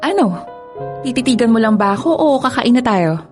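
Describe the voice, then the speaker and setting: A woman's voice: on the high side, animated, fairly quick, soft background music, close to an everyday voice. A young woman acting a cold, evasive girlfriend in a radio drama, speaking in a curt, dismissive way. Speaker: girlfriend character.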